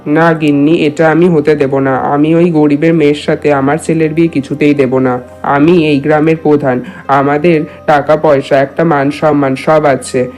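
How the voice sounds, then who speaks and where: A man's voice: fairly high pitch, flat delivery, moderate pace, darkish tone, close to an everyday voice. A man voicing a proud, status-conscious village headman and husband in a cartoon, speaking earnestly. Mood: proud refusal. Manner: earnest, addressing his wife familiarly. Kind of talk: cartoon dialogue. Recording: music behind the voice.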